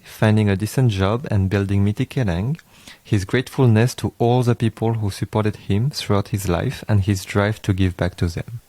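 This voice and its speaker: A man's voice: on the low side, dark tone, no echo. A man hosting a podcast, introducing his guest and chatting in an eager, friendly way with frequent laughs. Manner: enthusiastic, chatty, laughs often. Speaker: podcast host. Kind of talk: casual interview, scripted intro.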